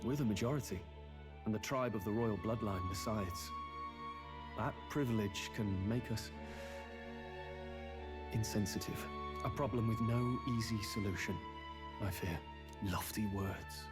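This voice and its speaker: A man's voice: fairly low pitch, measured pace, pauses often, lightly acted. A man playing an earnest, brooding young nobleman in a fantasy video game, speaking in a formal, articulate way. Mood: thoughtful. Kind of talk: video game dialogue acting. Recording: loud music behind the voice.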